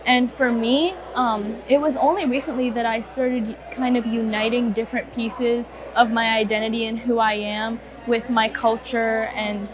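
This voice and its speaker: A woman's voice: a little high, fairly even intonation, normal speed, hardly any pauses, a crowd in the background. A teenage girl, born in Egypt and a student speaker, reflecting chattily in a casual interview.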